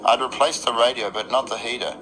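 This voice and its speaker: A man's voice: mid-range voice, fairly even intonation, fairly quick, background noise. An Australian man performing a dramatic first-person radio narration in a wry, literary beat-poet storytelling manner. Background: electric guitar music.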